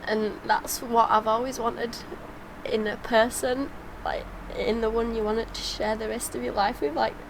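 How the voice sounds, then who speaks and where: A woman's voice: on the high side, flat delivery, measured pace, noisy background. A woman talking casually and personally as a reaction vlogger, heartfelt and rambling, emotional. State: emotional, tearful.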